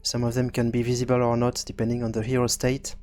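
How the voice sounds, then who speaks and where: A man hosting a casual screencast programming tutorial, thinking aloud in a halting way and often repeating words as he goes. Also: medium pitch, monotone, darkish tone, soft background music. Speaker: tutorial host and game developer.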